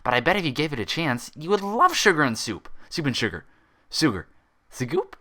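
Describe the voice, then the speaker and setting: A man's voice: a little high, wildly swooping pitch, brisk, bright, forceful tone, voice pushed up, full character voice. A man voicing an eager, childish female cartoon pony in a story reading, with a bouncy, naive, exaggerated manner. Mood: pouting. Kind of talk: dramatized fan fiction reading.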